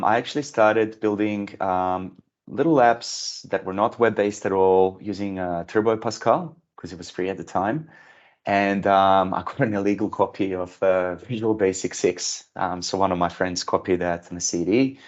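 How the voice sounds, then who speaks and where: A man in his late thirties from Bosnia, with a Bosnian accent, casually introducing himself on a video call with many ums and uhs. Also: low-pitched. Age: late 30s. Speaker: software engineer at a tech company. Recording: dull lo-fi video call audio.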